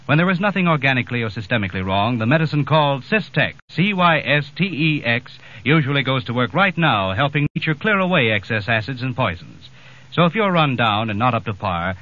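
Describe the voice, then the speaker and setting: A male announcer reading a 1940s radio advertisement in a persuasive way with careful enunciation. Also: mid-range voice. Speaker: commercial announcer. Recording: muffled old radio recording.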